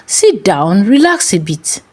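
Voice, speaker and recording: A woman playing a smooth, fatherly older Nigerian pastor in a told story. The pitch swings widely as he coaxes, with a familiarity that is quietly predatory. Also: very animated, takes it slowly, heavily acted. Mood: cheerful, coaxing. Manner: coaxing, casually over-familiar. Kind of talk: acted dialogue in a narrated folk tale.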